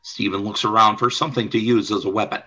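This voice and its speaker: A man's voice: on the low side, steady tone, fast, bright, forceful tone, slightly rough, lo-fi sound. A man reading scripted scene narration for a radio play. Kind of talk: scripted narration of stage directions.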